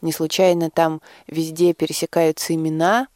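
Woman, lower-pitched voice, thoughtful, hesitant phrasing, conversational interview guest, dry studio recording. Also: flat delivery, normal speed, darkish tone, no room sound. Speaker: interview guest, drama project representative. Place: radio studio.

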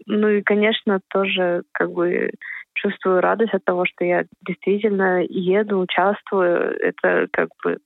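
Halting young woman, creaky, on the phone. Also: medium pitch, little pitch movement, no room sound. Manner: hesitant, halting. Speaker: athlete being interviewed. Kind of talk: unscripted interview answers. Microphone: phone call. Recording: narrow telephone line, dry.

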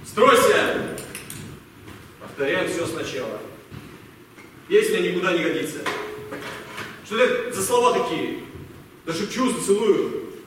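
A man's voice: sits high, very animated, moderate pace, pauses often. A man in an amateur audio play acting a brusque, commanding army captain who gives curt orders. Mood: stern, commanding. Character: brusque commanding male army captain drilling young recruits. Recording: echoey hall, distant mic, faint noise.

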